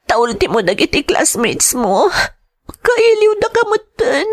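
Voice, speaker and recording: A woman with an Ilocano accent narrating a drama and playing a worried mother, speaking softly and anxiously, sometimes tearful. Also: high-pitched, big pitch swings, measured pace. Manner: anxious, tearful, soft-spoken.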